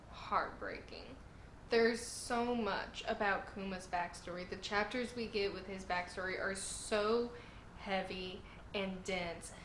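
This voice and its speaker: A woman's voice: mid-range voice, fairly bright tone, roomy sound, slight background noise, rough recording. A woman hosting a casual video review, talking in an earnest, emotional, gushing way while her throat is sore and her voice sounds strained. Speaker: channel host reviewing manga chapters.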